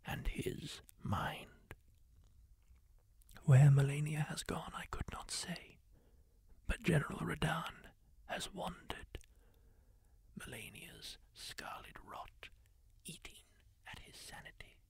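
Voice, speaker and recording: A man voicing a kindly masked healer-warrior, telling tales in a slow, breathy ASMR whisper close to the mic. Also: on the high side, level tone, darkish tone, full character voice. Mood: hushed. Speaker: ASMR roleplayer. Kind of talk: whispered ASMR roleplay monologue.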